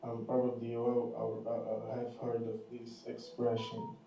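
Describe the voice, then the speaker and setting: Dark-voiced man, distant echoey line. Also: mid-range voice, monotone, unhurried, vocal fry, muffled recording, slight background noise. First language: Portuguese. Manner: careful, effortful phrasing in a second language. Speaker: caller asking a question.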